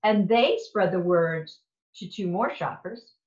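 A woman reading a children's picture book aloud in an expressive, playful and deliberate way. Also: lowish voice. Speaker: storybook reader. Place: indoor room at home. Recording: echoey room, dull lo-fi sound.

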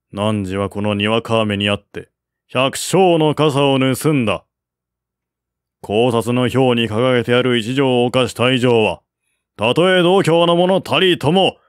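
Male reader as unyielding army commander, formal, admonishing, audiobook drama, measured pace, dry sound. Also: mid-range voice, smooth, no room sound, natural voice. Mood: stern, resolute. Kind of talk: audiobook character acting.

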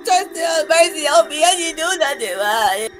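Sassy young woman played by a woman, high lively voice, blunt slangy delivery, horror roleplay, faint music. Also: moderate pace. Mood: scared.

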